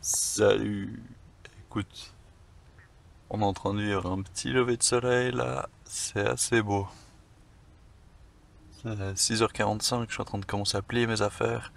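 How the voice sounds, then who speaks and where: A man's voice: fairly low pitch, a little slow, creaky, pauses often. A man vlogging his solo kayak trip, talking casually to the camera outdoors by a river, thinking aloud and swearing now and then. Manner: relaxed and chatty, thinks aloud, swears casually, many fillers. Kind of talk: casual vlog narration to camera.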